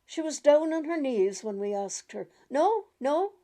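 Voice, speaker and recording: Woman reading a short story, gentle n unhurried, soft mellow tone w some creak. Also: a little high, animated. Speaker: reader of short stories.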